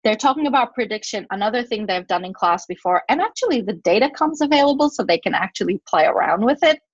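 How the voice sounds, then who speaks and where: A woman whose first language is Turkish explains teaching methods in a webinar, thinking aloud with "um"s and restarts. Her voice sits a little high, and the audio is dry and muffled like a video call. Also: lively intonation, quick pace, dry recording. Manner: explanatory, fillers and self-corrections. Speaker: guest speaker, professor. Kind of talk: webinar talk and Q&A.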